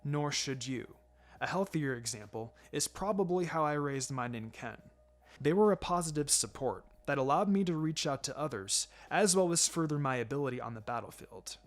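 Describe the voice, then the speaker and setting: A man voicing a laid-back adult male ninja mentor in a fan fiction reading, wry and gently sombre, speaking in a measured, low-key way. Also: expressive, lightly acted. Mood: gentle advice. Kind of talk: fan fiction audiobook character acting.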